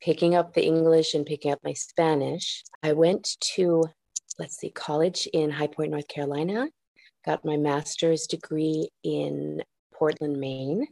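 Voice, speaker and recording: A woman clinical therapist being interviewed on a podcast, explaining in a reflective, measured way with occasional restarts. She grew up in the United States and spoke Japanese first. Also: low-pitched, fairly even intonation, gravelly, mellow, no echo.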